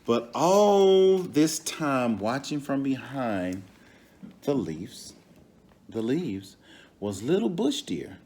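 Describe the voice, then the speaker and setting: Animated, unhurried man reading a children's story. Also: mid-range voice. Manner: expressive, pauses to question and comment to the young audience. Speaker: storybook reader. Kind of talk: animated read-aloud of a children's story with asides to listeners.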